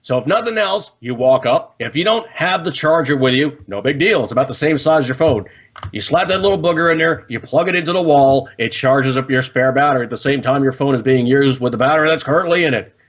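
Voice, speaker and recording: A man's voice: medium pitch, expressive, a little fast. An American man hosting a casual, unscripted show, explaining things to viewers in a folksy, slangy, chatty way and often checking in as he goes. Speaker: host of a hobby gun and gadget show.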